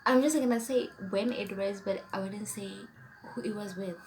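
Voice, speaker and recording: A woman's voice: medium pitch, moderate pace, darkish tone, slight background noise, poor audio. A young woman from South Africa whose first language is Setswana, chatting casually through a tag video and whispering questions as she reads them. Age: young adult. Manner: chatty, rambling, trails off into whispers while reading questions. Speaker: YouTuber.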